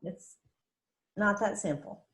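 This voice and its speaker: A woman's voice: medium pitch, slow, fairly bright tone. A woman acting a tired, stubborn, evasive mother who speaks curtly, recorded in a roomy echo. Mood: evasive, upset. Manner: curt, evasive. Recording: roomy, echoing sound.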